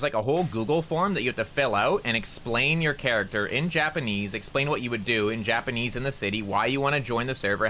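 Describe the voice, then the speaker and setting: A man's voice: mid-range voice, sharp tone, slight background noise. A man streaming while he plays a game, chatting casually and rambling with plenty of "like" fillers. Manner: rambling, loose talk full of "like" fillers. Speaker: VTuber streamer.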